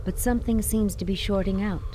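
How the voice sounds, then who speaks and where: A woman's voice: medium pitch, steady tone, brisk, lightly acted. A woman playing a neutral game narrator, giving scripted descriptions in a matter-of-fact way. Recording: loud background noise and clicks.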